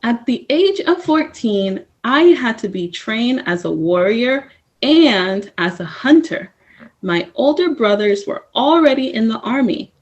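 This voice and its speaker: A woman reading a first-person story aloud at story time, in a slow, measured way. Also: a little high, lively intonation. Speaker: storytime reader. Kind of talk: reading a picture book aloud.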